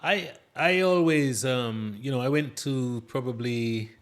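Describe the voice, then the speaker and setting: Male host, mixed accent with a Jamaican lean, mid-range pitch, chatty, restarts a lot. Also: takes it slowly, smooth. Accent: mixed, partly Jamaican. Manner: chatty, stammering restarts, switches into patois. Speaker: podcast host. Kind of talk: casual interview.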